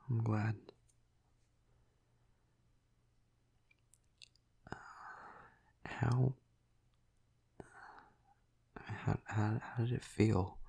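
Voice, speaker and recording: A man playing a flirty, tender vampire lover in an intimate ASMR roleplay, half-whispering in a breathy, husky voice with hesitant, teasing murmurs. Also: lowish voice, mellow, heavily acted. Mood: gentle, nervous.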